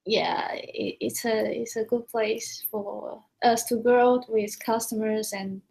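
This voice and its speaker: A woman from Taiwan with a Taiwanese accent, a company staff member chatting casually on a livestream from the office, soft-spoken and restarting her phrases. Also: a little high, steady tone, slow pace, creaky, rough recording.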